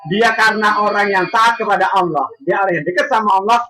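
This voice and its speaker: A woman telling religious stories to children in an animated way, questioning them and repeating phrases. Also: mid-range voice, fast, very noisy. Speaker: children's storyteller with puppet. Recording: echoey room, loud music bed.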